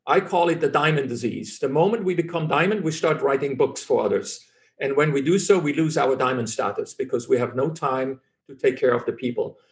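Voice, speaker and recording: A man's voice: medium pitch, brisk. A middle-aged man whose first language is German, speaking English as a physician guest in an interview, self-assured and earnest, often restarting phrases.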